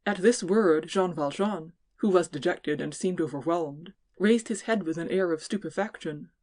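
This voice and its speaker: A woman's voice: lowish voice, moderate pace, darkish tone. A woman giving scripted narration of classic fiction as an audiobook narrator.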